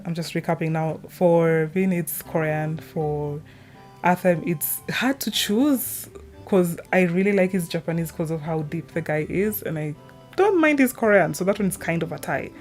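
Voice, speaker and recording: A woman's voice: lowish voice, darkish tone. A young woman rambling casually and informally through her opinions as a gaming video creator, drifting off on chatty tangents.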